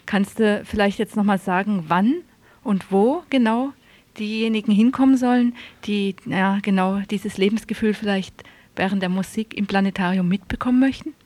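A woman's voice: mid-range voice, normal speed, a little mellow, no room sound. A woman hosting on radio, presenting and putting questions to a studio guest. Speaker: radio host, interviewer.

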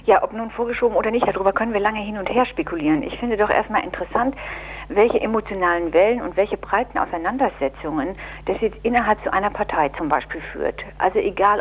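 A woman being interviewed as an official, answering in deliberate, formal and analytical phrases. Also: mid-range voice, slightly rough, phone-quality sound. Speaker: interviewed women's equality officer. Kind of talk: spoken radio interview answers.

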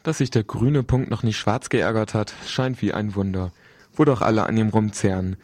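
A man's voice: on the low side, fairly even intonation, moderate pace, a little mellow. A man working as a radio presenter, reading an introduction and putting questions to a guest. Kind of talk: scripted introduction and phone interview.

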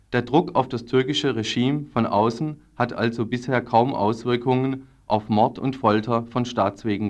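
Male radio news reader, average pitch, scripted political report.

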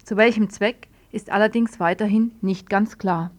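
A woman reads a scripted report as a radio news presenter. Her voice sits at a medium pitch. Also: steady tone, moderate pace, a little mellow.

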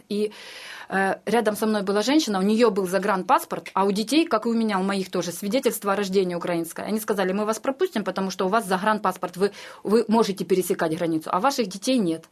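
Fast-talking woman telling a personal story. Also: medium pitch. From Ukraine. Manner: animated retelling that acts out quoted dialogue. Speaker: interviewee, refugee recounting her escape. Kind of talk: informal personal storytelling in an interview.

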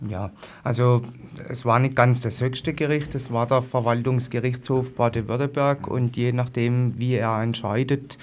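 A man's voice: mid-range voice, fairly even intonation, moderate pace, few pauses. A man speaking as a studio interview guest, explaining things precisely and matter-of-factly. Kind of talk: spoken explanation in a radio interview. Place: radio studio.

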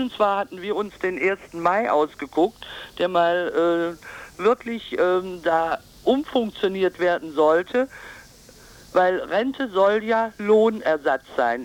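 A woman giving a political radio interview as an advocate, emphatic and insistent, sometimes halting. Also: medium pitch, rough recording. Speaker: pensioners' advocacy group board member, interviewee.

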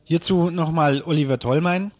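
A man's voice: fairly high pitch, normal speed. A man presenting a radio news magazine and putting questions as interviewer.

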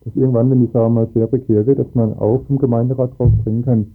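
A man's voice: mid-range voice, level tone, quick pace. A man speaking earnestly and emphatically as a resident in a political radio interview. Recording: tinny phone-like band, music behind.

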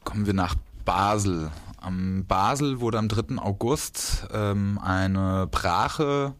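A man with a low voice hosts a radio news segment. He speaks informally, with some hesitation as he moves through the items. The sound is dry, with very little room on it. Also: slow pace, dry recording. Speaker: radio show host. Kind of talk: informal spoken news bulletin.

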